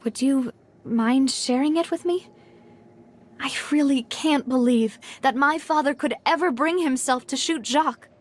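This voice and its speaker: A high, youthful female game character, sincere and formal. She carries guilt and speaks with heartfelt resolve. A faint noise sits behind the voice. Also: unhurried. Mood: troubled. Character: earnest, guilt-ridden young woman seeking the truth. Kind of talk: video game voice acting.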